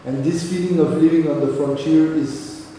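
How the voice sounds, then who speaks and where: A man whose first language is French, speaking haltingly with many restarts as a panelist at a seminar. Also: on the high side, steady tone, warm tone, far from the mic, a little hiss or hum. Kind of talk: discussion answer. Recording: echoey hall, recorded from afar.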